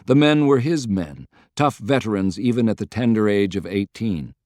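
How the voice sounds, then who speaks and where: A man's voice: on the low side, animated, normal speed, a little mellow, dry recording, clean studio sound. A man reading a historical novel as scripted, dramatic audiobook narration.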